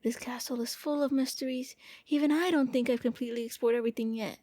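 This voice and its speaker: A woman voicing a gentle, lonely young vampire prince in an anime-style ASMR roleplay, speaking softly and coaxingly with a playful, hesitant manner. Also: on the high side, fairly even intonation, fast, no room sound, lightly acted. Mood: eager, shy.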